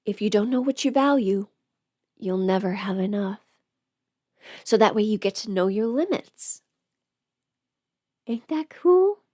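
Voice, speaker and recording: A woman's voice: mid-range voice, animated, a little slow, pauses often, dark tone, no room sound, clean studio sound. A young woman chatting casually as a livestream host, informal and talkative, often putting rhetorical questions to her listeners. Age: young adult.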